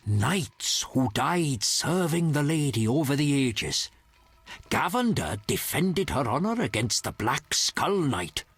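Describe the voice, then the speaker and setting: An actor playing a solemn, reverent hermit in a fantasy video game, with formal, measured phrasing and a husky voice. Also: male voice, medium pitch, big pitch swings, no room sound, full character voice. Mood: solemn storytelling.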